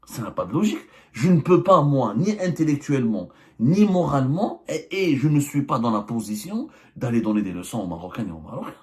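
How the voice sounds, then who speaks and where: A man's voice: mid-range voice, sing-song, a little fast, few pauses, darkish tone. A man, a journalist and channel host delivering a political commentary monologue, emphatic and full of rhetorical questions. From Algeria. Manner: hesitant fillers and restarts.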